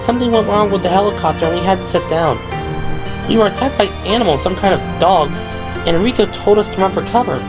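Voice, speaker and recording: A man's voice: sits high, fast, voice pushed up, close to an everyday voice. A man voicing a nervous, babbling eighteen-year-old female rookie medic in an audio drama, self-deprecating in manner. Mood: nervous, apologetic. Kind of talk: audio drama character acting. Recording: music behind the voice.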